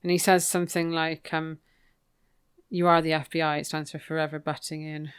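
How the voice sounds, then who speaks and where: A woman's voice: low voice, flat delivery, fairly quick, clean tone. A British woman co-hosting a podcast, casually recapping a book in an informal, gossipy, wry way. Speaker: podcast co-host.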